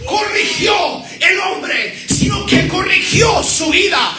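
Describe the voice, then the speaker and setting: Guy preacher yelling slow emphatic phrases, real echoey church, music going behind him. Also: very high voice, far from the mic. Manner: emphatic, repetitive exhortation. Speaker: evangelist preacher. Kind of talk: fiery revival sermon in short phrases, paused for an interpreter. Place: church service, indoors.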